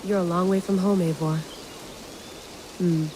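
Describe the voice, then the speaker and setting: A woman's voice: lowish voice, fairly even intonation, unhurried, background noise, lightly acted. A woman playing a weary, driven researcher in a video game, speaking in an understated, terse way. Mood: brooding. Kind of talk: naturalistic video game acting.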